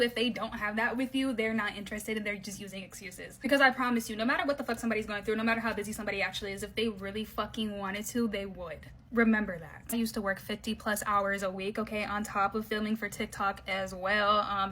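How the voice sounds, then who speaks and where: A woman's voice: mid-range voice, bright, forceful tone. A woman giving blunt, profane dating advice in a casual rant to the camera. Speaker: social media creator.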